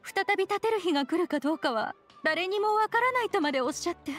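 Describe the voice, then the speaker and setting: Polite earnest young lady, high voice, soft music behind. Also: expressive, quick pace. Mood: troubled. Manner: formal, earnest and grateful. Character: worried, polite young woman.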